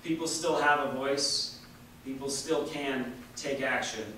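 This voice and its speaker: A man reciting a political speech emphatically, with rhetorical repetition and deliberate pauses, in a large echoey hall. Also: medium pitch, sharp tone. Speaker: reader at a memorial staged reading. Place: large echoey indoor hall, distant microphone. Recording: echoey hall from far off, faint noise.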